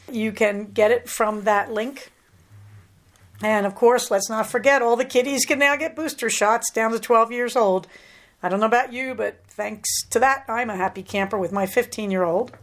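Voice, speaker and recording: A middle-aged American woman hosting a podcast, talking in a chatty, rambling way with plenty of asides. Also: on the high side. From United States. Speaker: podcast host. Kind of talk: casual solo podcast chat.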